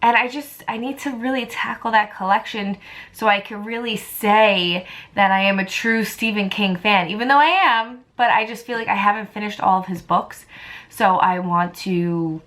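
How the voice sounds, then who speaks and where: A woman's voice: medium pitch, normal speed, a bit bright. Chatty, enthusiastic woman book vlogger. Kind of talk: casual chat to viewers.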